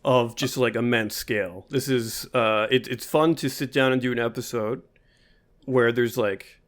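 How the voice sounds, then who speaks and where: A man's voice: medium pitch, normal speed. A young man co-hosting a casual podcast, throwing in dry joking asides. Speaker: podcast co-host.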